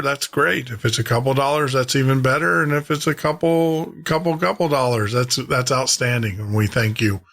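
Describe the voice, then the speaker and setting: Male radio host, mid-pitched, casual and conversational, rambles a little while addressing listeners. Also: sharp tone. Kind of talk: casual sign-off talk to listeners.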